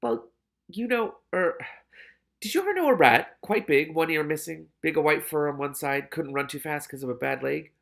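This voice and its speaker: A man's voice: on the high side, lively intonation, moderate pace, vocal fry, a bit bright, voice pushed up. A man voicing a shifty, evasive cat who hedges uneasily and sounds guilt-ridden in a storybook reading. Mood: squirming guilt. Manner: stammering, hedging when cornered.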